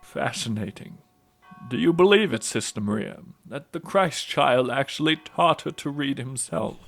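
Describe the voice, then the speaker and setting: A man playing a calm priest who tells a saint's story in an audio drama, measured and explanatory. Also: medium pitch, gravelly, soft background music. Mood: curious. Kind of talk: audio drama acting and narration.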